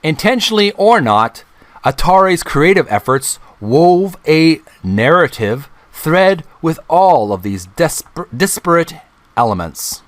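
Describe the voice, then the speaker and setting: A middle-aged man hosting a channel, reading a book aloud in a deliberate, halting way, phrase by phrase. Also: a little high, sing-song, no echo. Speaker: video channel host.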